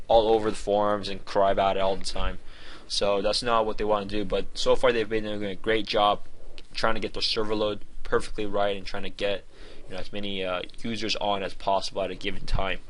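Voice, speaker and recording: A man's voice: fairly low pitch, a bit bright, rough recording. A man giving an informal game review in a conversational, opinionated and emphatic way.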